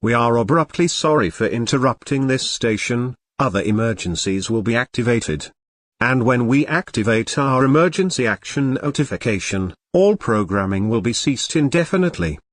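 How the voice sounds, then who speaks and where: A man playing a government emergency alert announcer, reading a formal, impersonal and deliberate broadcast script. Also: medium pitch, lively intonation, no room sound, lightly acted. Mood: formal, grave.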